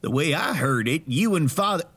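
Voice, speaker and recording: A man's voice: on the high side, lively intonation, moderate pace, fairly bright tone, breathy edge, exaggerated voice. A man acting in an audio drama as a pompous, scheming heir to a crime syndicate, speaking formally and with self-importance. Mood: formal, businesslike.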